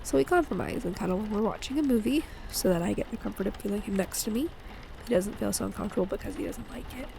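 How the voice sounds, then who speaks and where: A woman playing an affectionate, playful wife and mother in an intimate audio roleplay, speaking in a gentle, teasing way. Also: mid-range voice, fast talker, creaky, a little hiss or hum, lightly acted, poor audio. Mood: warm advising.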